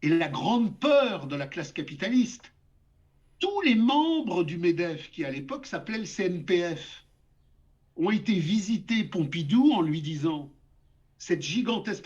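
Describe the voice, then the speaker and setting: An older man holds forth as an interview guest, his voice pitched fairly high for a man. He lectures with emphasis, stacking up examples and repeating words as he drives his points home. The audio sounds dull and lo-fi. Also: expressive, moderate pace, darkish tone. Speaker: guest essayist. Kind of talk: interview discussion.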